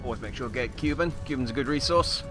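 Over clearly audible music, a man plays a bumbling Australian survival expert. His Aussie accent wanders, and he boasts and rambles in a mock-instructional way, improvising as he commentates on a video game. His pitch is middling. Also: quick pace, natural voice. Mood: deadpan mock-expert. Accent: put-on wandering Australian. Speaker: gameplay commentator.

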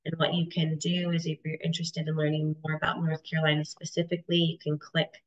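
A woman in her thirties presenting charts on a video call, stuffy from a cold, with halting speech full of ums and restarts. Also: sits low, little pitch movement. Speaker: webinar presenter. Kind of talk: explaining data charts in a webinar. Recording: dull lo-fi video call audio.

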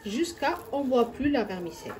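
A woman's voice: fairly high pitch, expressive, a little fast, a little mellow, a little hiss or hum. A woman hosting a home cooking video, chatting step by step and talking straight to her viewers. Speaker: cooking channel host. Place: home kitchen.

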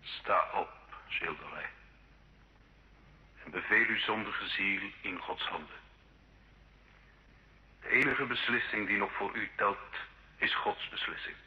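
An actor playing a solemn church judge in an old radio drama, grave, formal and measured. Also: male voice, lowish voice, bright, forceful tone, slight background noise, full character voice. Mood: grave, stern. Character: solemn church judge pronouncing absolution and death sentence. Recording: muffled old radio recording.

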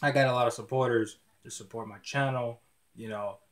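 A young man in his early twenties talks straight to his webcam in a small dim room. His voice sits at a middling pitch. He rambles in easy slang, tripping over and repeating his words as he goes. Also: steady tone, a little fast. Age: 20s. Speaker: YouTuber. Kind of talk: casual vlog talk to camera.

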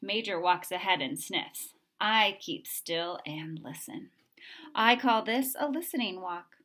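A woman's voice: medium pitch, slow. Woman reading a children's picture book aloud. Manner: expressive, acting out sound effects. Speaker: storybook reader.

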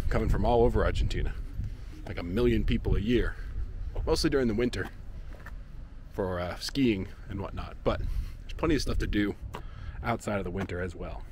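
A man's voice: on the low side, a little hiss or hum. A man in his thirties chatting casually while walking outdoors, filming himself at arm's length for a travel vlog, with lots of fillers. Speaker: travel vlogger. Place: outdoors by a lake and parking lot. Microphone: handheld action camera at arm's length.

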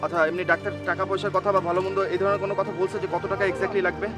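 A man's voice: high voice, level tone, rapid-fire, over loud music, natural voice. A young man acting an earnest, reassuring poor young friend and son in a short-film drama. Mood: concerned, reassuring.

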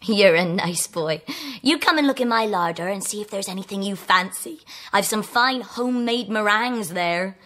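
An actress playing a kindly English housekeeper, homely, bossy and colloquial, in an audio drama. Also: female voice, mid-range voice, moderate pace, a bit bright, lightly acted. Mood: confiding, kindly. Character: fussing, kindly housekeeper of an old general.

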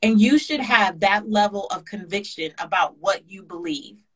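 A woman's voice: medium pitch, moderate pace, husky, low-quality recording. A woman guest on a video-call interview, speaking in a passionate, preachy way and stacking repeated phrases for emphasis. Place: home kitchen indoors. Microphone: webcam on a video call.

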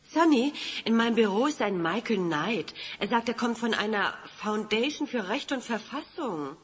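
Woman playing a lively, chatty receptionist. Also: fairly high pitch, moderate pace, lightly acted. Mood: pert, curious. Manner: chatty, colloquial, pert. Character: chatty, naive, sassy female office receptionist. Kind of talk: German radio play acting.

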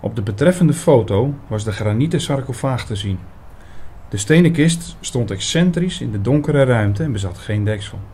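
Guy acting as attentive investigator telling his own story in a radio play, soft mellow voice, bit of background noise. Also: medium pitch, animated, moderate pace, close to an everyday voice. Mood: measured, reflective. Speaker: narrator and lead actor in an audio drama. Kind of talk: scripted radio drama narration.

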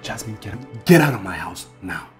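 A man's voice: medium pitch, big pitch swings, fairly quick, darkish tone, faint music behind, natural voice. A male actor with a Nigerian accent playing an exasperated young boyfriend in a screen drama. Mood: incredulous, firm. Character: exasperated young boyfriend, embarrassed yet firm.

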